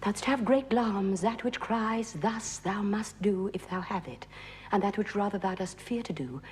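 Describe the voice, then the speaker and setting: Give the voice of a ruthless, ambitious noblewoman plotting in secret, played by an actress. She delivers a Shakespearean soliloquy in hushed, breathy whispers that swell into intense declamation. Her pitch is mid-range for a woman, with faint noise behind her. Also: normal speed, close to an everyday voice. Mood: impatient, intense.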